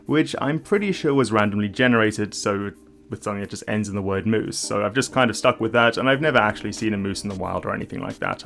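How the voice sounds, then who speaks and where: A man's voice: fairly low pitch, a bit bright. A young man from South Africa with a mixed South African and Australian accent, hosting a video that moves from scripted narration to a casual question and answer session. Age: young adult. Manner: clear, measured delivery with casual fillers in Q&A. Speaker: YouTube host.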